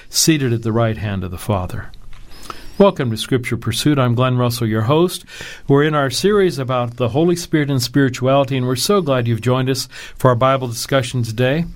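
A man hosting a Bible discussion program, reading a scripted opening and then interviewing a guest in an earnest, measured tone. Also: mid-range voice. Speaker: radio Bible program host.

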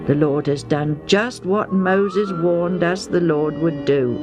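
A woman voicing a middle-aged Israelite father who speaks in a solemn, steady, preacherly way. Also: fairly low pitch, slow pace, loud music behind the voice.

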